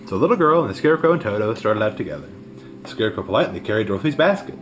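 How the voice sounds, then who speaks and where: A man reading a children's story aloud as scripted narration, in an expressive way. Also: fairly low pitch, rapid-fire. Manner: expressive read-aloud delivery. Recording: music behind the voice.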